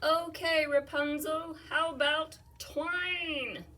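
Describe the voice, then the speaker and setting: A woman voicing a young lovestruck male prince who shouts up to a tower in a loud, slow, drawn-out voice. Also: sits high, fairly even intonation, recorded from a distance, pitched above the performer's own voice, full character voice. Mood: calling upward.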